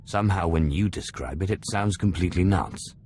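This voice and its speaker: An actor playing a cool, self-assured young Sith in a video game, with a measured, dry, terse manner. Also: male voice, low-pitched, a little mellow, a little hiss or hum, natural voice.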